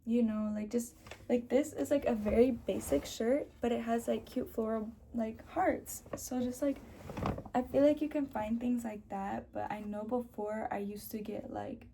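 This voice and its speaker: A woman's voice: on the high side, fairly even intonation, moderate pace, vocal fry, mellow, a little hiss or hum. A young woman with a sore throat, chatting casually to camera as a beauty vlogger and sprinkling her talk with "like".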